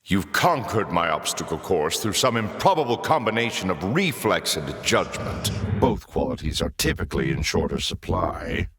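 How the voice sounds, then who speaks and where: A low, unhurried demon lord for a video game. He is pompous and sly, savoring each taunt with silky sarcasm. The voice rings out in a long, echoing reverb. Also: fairly bright tone, heavily acted. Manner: silky, sardonic, pompous, drawn-out teasing. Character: suave, mocking demon lord, taunting and sardonic. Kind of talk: theatrical video game villain voice acting.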